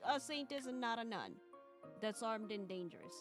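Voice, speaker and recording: A woman hosting a casual live stream, talking in a rambling, halting way. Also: fairly high pitch, animated. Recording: music behind the voice. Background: background music.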